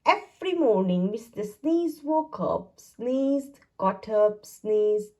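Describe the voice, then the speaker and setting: A woman reads a children's picture book aloud in a sing-song way, her pitch rising and falling widely. She speaks slowly, with a soft, breathy voice. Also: expressive. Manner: sing-song, exaggerated storytelling. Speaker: storybook reader. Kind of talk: children's read-aloud narration.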